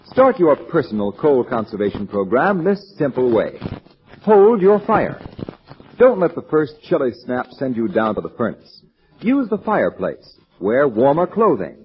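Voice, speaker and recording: An American man reading a script as a radio announcer, with emphatic, dramatic delivery in an old-time 1940s style. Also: mid-range voice. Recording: dull, lo-fi 1940s radio recording. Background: music.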